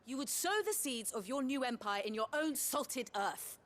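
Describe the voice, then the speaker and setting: Defiant high-voiced fantasy woman. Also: lively intonation, normal speed, fairly bright tone. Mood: indignant challenge. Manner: pointed, formal, impassioned. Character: defiant, righteous woman challenging a noble. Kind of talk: scripted fantasy game acting.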